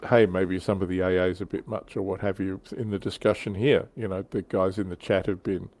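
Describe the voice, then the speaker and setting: A man's voice: low-pitched, level tone, brisk, darkish tone. A man hosting a casual hobby livestream panel, thinking aloud in a hesitant, self-deprecating way. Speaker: panel show host.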